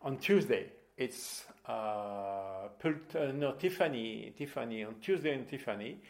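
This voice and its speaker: A man speaks English with a marked French accent and plenty of gravelly creak in his voice. He talks conversationally as a guest, feeling his way through phrases and reaching for the right word. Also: medium pitch, lively intonation. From Bordeaux, France. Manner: halting non-native English with searching for words. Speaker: interview guest. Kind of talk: casual conversational interview.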